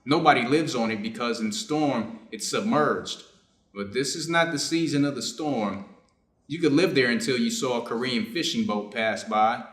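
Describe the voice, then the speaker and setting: A man's voice: medium pitch, moderate pace, a bit bright, natural voice. A man playing a reserved, conflicted Japanese doctor in a staged reader's theater drama, formal and guarded in his phrasing. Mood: resolved. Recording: roomy, echoing space.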